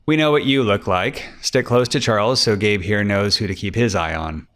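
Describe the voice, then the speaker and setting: Man acting a low-voiced, terse private detective. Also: moderate pace, a bit bright, natural voice. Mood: curt. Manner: terse, matter-of-fact. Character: weary, blunt male private detective, agency boss.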